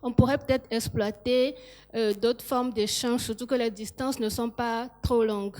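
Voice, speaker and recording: A woman on a business panel giving measured, factual remarks on cross-border trade. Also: on the high side, steady tone, darkish tone. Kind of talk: panel discussion.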